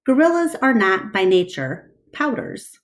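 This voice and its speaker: A woman reading a children's book aloud in an expressive, deliberate storytelling style. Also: fairly high pitch.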